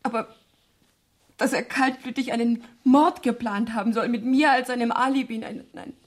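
A woman's voice: a little high, fairly even intonation, moderate pace, close to an everyday voice. An actress playing a troubled, conflicted young woman, polite, hesitant and earnest, in a 1970s German radio crime drama. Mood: earnest, defensive.

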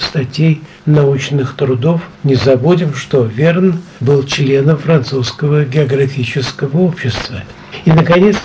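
An older man presenting on radio, introducing a programme conversationally in measured, chatty short phrases. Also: fairly high pitch, background noise.

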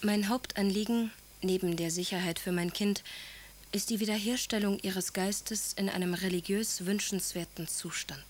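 Actress as a severe, dutiful estranged wife. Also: female voice, medium pitch, fairly even intonation, normal speed, a little hiss or hum, close to an everyday voice. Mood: resolute, cool. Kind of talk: German radio drama, letters and confessions.

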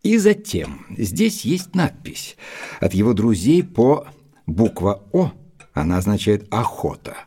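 An actor playing an earnest, admiring gentleman companion, narrating in a measured, literary audio drama style. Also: fairly low pitch, huge pitch swings, husky, full character voice. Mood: thoughtful.

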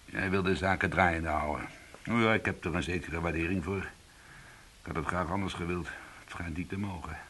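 A low, husky ex-convict. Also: male voice, a little fast, a bit bright. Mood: resigned. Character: weary ex-convict, husband and brother, defensive yet soothing. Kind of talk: radio drama acting and first-person narration.

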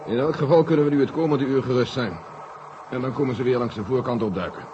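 An actor playing a calm, authoritative general in charge of a moon mission in a 1970 radio drama. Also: male voice, medium pitch, quick pace, close to an everyday voice. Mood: relaxed. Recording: noisy, dull old radio recording.

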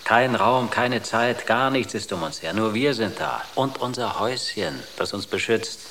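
An actor playing a patient comrade in a radio drama. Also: male voice, lowish voice, a little slow, creaky, a bit bright, a little hiss or hum. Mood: soothing.

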